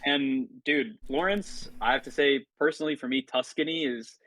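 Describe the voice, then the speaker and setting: A man's voice: mid-range voice, moderate pace, a bit bright, dry recording, rough recording. A man who grew up in Italy, a podcast guest chatting in a loose, enthusiastic, rambling way. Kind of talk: casual podcast chat.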